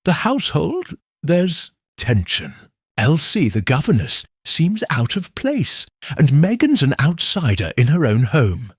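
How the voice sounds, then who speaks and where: A troubled, suspicious man puzzling out a mystery , voiced by a male audiobook reader. He speaks slowly and seriously, halting in thought, with an expressive, slightly husky tone. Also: a little high, dry recording, clean studio sound, pitched above the performer's own voice, heavily acted. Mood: thoughtful. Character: troubled, suspicious man investigating a village death. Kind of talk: audiobook character dialogue.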